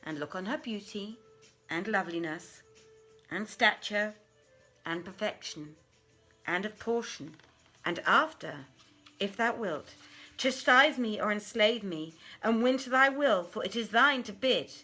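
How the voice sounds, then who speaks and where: A woman's voice: mid-range voice, animated, a little slow, pushed and bright, faint music behind. A woman voicing a courtly, lovestruck male jinn in a storybook, with formal, reverent pleading. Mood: adoring entreaty.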